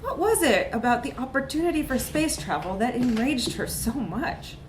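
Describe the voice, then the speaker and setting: Woman doing a live reading of a funny story, expressive and dramatic, echoey room w a bit of noise. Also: on the high side, normal speed. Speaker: reader of a short story.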